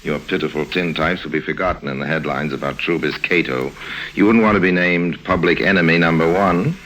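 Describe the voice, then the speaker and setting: A man's voice: low voice, fairly bright tone. An actor playing a cynical, cunning con man with a dry, confident manner in a 1950s radio crime drama. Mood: coolly confident. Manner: dry, sardonic, unhurried confidence. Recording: faintly noisy old radio recording.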